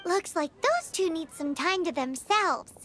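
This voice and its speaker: Tiny chirpy fairy girl, super high, music playing behind. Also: animated, a little slow, a bit bright, exaggerated voice. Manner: blunt, chirpy, talks of herself by name.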